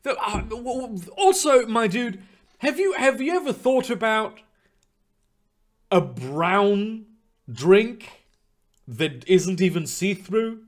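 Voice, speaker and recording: A man's voice: high-pitched, big pitch swings, takes it slowly, long pauses. A young man streaming live, chatting loosely with his viewers in a rambling way, full of fillers and casual swearing. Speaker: streamer reading chat.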